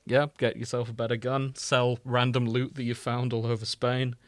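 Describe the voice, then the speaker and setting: A man's voice: medium pitch, little pitch movement, normal speed, dry recording. A man chats as a podcast co-host in a middling register. His delivery is informal banter with the odd swear word. The sound is dry, with hardly any room on it. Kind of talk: casual banter.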